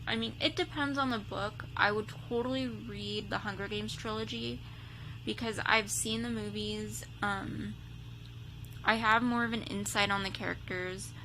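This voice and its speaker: Slow, chatty young woman over hum. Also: medium pitch, rough recording. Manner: chatty, full of "like" and "um" fillers. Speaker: vlogger reacting to a video. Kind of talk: casual rambling chat. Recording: steady background hum.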